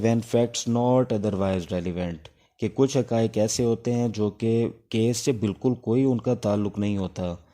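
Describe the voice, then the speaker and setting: A man with a Pakistani accent giving an informal explanatory lecture as a law teacher, pausing often between phrases. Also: lowish voice, warm tone.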